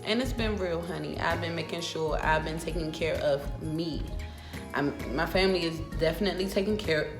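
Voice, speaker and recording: A woman in her twenties with an American accent tells a personal story in a casual, animated, emphatic and sassy vlog style. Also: deep voice, normal speed. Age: 20s. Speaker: vlogger. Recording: roomy echo with music behind.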